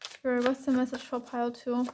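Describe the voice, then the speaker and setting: A woman's voice: a little high, monotone, a little fast, background noise. A woman with a German accent, German being her first language, giving a blunt, earnest pick-a-card tarot reading full of ums and restarts. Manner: blunt, earnest, calling the viewer out directly; frequent ums and restarts, repeats "to be honest".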